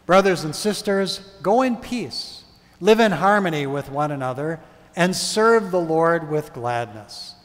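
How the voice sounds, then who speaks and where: Middle-aged man, pastor, measured liturgical reading, slightly high voice, long church reverb. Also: animated, fairly bright tone. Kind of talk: liturgical prayer and blessing. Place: large brick church sanctuary.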